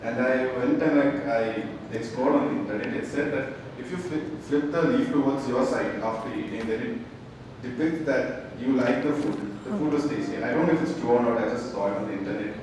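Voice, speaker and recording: A man's voice: mid-range voice, fairly quick. A young man from West Bengal, an audience member telling a casual anecdote and using "like" often. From West Bengal, India. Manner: informal, uses "like" as a filler often. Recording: echoey room, distant from the mic, audible noise.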